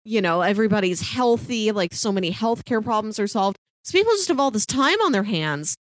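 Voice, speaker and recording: A woman's voice: mid-range voice, sing-song, brisk, no room sound. A woman co-hosting a podcast, casually recommending things in an earnest, enthusiastic way with emphatic lists. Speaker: podcast co-host reviewing shows.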